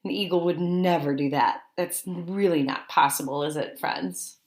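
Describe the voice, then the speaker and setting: A woman's voice: sits low, moderate pace, very noisy. A woman reading a picture book aloud to young children as a teacher, stopping to ask simple questions and make exclaiming asides.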